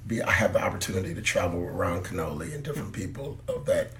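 A man from Kentucky, a guest singer, telling relaxed stories in an interview with many filler words. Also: deep voice, fast, a little hiss or hum. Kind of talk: interview storytelling.

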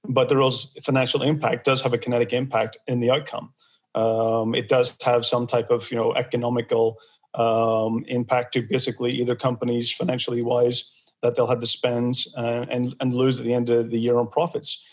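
A man's voice: mid-range voice, little pitch movement, moderate pace. Chatty male podcast host. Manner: rambling, many fillers and restarts. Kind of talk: casual podcast discussion.

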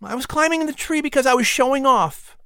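Audiobook narrator doing a young boy, high voice, earnest and honest, storybook style. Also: animated, a little fast, bright, forceful tone, smooth, no room sound, studio quality, exaggerated voice. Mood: confessing.

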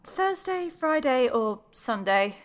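A woman's voice: high-pitched, very animated, slow pace, full character voice. A woman playing a fussy, theatrical female friend in a radio play.

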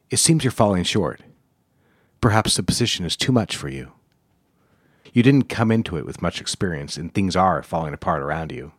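A man acts a cold, critical boss in a period office drama. His voice is on the low side and recorded dry. He speaks in clipped, formal phrases with a belittling condescension. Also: breathy edge, dry recording.

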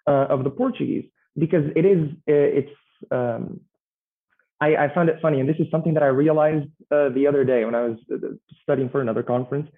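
A man speaking on a conference panel in a measured, reflective way. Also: fairly high pitch. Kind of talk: panel discussion. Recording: tinny phone-like line.

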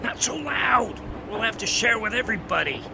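A man playing a brash, wisecracking young sports reporter in a 1920s audio drama, slangy and excitable. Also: very high voice, moderate pace, vocal fry, background noise, exaggerated voice. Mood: rowdy.

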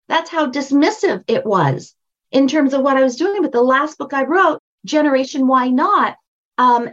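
An older woman with a high voice, a guest on a podcast interview, telling stories with emphatic repetition. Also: dull audio. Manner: emphatic, storytelling, repeats phrases for stress. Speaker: guest, brand strategist and book coach.

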